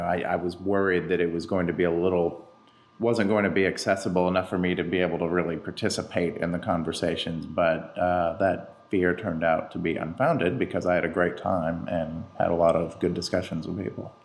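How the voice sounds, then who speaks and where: Male podcast co-host, deep voice, conversational and agreeable. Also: flat delivery, moderate pace. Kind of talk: casual conversational podcast chat.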